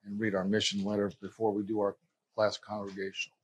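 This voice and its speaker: A man's voice: lowish voice, completely flat delivery, fast talker, breathy edge. A man leading a church service, addressing the congregation to announce readings and hymns. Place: small church sanctuary, indoors.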